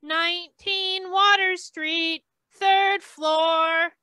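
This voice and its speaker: An actress playing an impersonal hospital dispatcher in a radio play, announcing deliberately and repetitively. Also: female voice, very high-pitched, steady tone, noisy background, full character voice.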